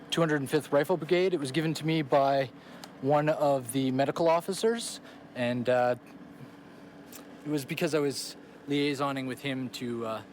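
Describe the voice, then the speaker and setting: A man, a soldier, speaking casually and haltingly in an interview. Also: medium pitch, slight background noise. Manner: halting, many fillers.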